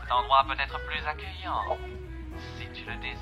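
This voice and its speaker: A man voicing a grinning, theatrical demon gentleman with mocking politeness in an amateur cartoon fan dub. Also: medium pitch, normal speed, soft background music, natural voice. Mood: smug cheer. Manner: theatrical, mannered, falsely courteous.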